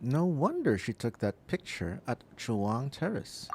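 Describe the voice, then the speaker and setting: Slow-paced man, lively gaming streamer. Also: medium pitch, slightly rough. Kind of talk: casual live playthrough commentary, reading dialogue aloud.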